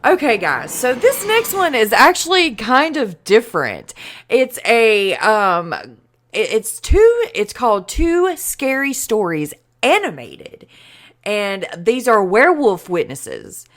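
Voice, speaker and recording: A woman's voice: fairly high pitch, huge pitch swings, slow, a bit bright. A young adult woman hosting a reaction video, chatting casually and exclaiming as she watches, with frequent fillers.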